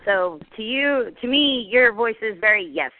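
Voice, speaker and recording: A woman's voice: fairly high pitch, lively intonation, moderate pace, no echo. A woman from Cincinnati, Ohio, chatting playfully as a guest on a fan podcast. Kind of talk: casual chat over a call. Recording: tinny phone-like line.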